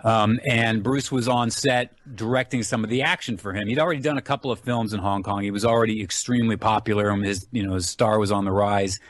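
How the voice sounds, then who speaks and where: A man's voice: fairly low pitch, fairly even intonation, fast talker, hardly any pauses. A man speaking as an interview guest, telling stories casually with informal enthusiasm. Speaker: guest, film writer and enthusiast.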